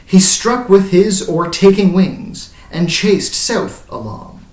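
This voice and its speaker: Slow, haunted old mariner, recited by a man. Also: sits high, lively intonation, a little mellow, heavily acted. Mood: grave, intense. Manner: dramatic, incantatory, swelling and falling away. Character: haunted, grey-bearded ancient mariner recounting his voyage. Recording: roomy echo, distant mic.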